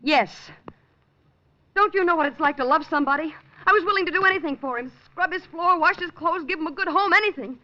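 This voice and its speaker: A jealous young woman who has killed for love, her high, bright voice pleading and breaking into frantic outbursts. This is 1950s radio drama acting, heard through a dull, lo-fi old broadcast recording. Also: brisk. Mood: desperate.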